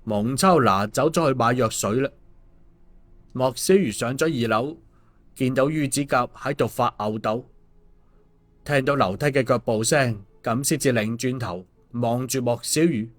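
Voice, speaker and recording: A man's voice: mid-range voice, unhurried. A man narrating an audiobook in a colloquial storytelling style. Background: background music.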